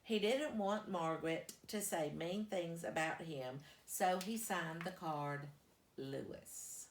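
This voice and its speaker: A woman reading a children's story aloud as a storyteller, with deliberate, emphatic phrasing. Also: on the low side, a little hiss or hum. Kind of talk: expressive children's storybook narration. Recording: echoey, roomy sound.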